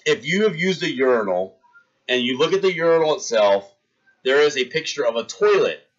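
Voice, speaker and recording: A man in his thirties tells a story to his live stream chat with lots of energy, acting out each scene as he goes. His pitch stays in a middle register. The audio is a little dull, like a basic stream mic. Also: a little fast. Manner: animated, acts out scenes, talks to chat.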